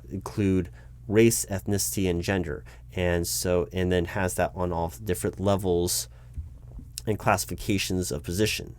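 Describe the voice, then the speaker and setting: An American man playing a flustered corporate recruiting manager in a spoken ASMR roleplay, explaining things in a halting, officious way. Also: low-pitched, darkish tone. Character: flustered bureaucratic male corporate recruiting manager addressing his disguised boss. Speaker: performer of a one-sided roleplay. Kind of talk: improvised conversational ASMR roleplay, spoken aloud.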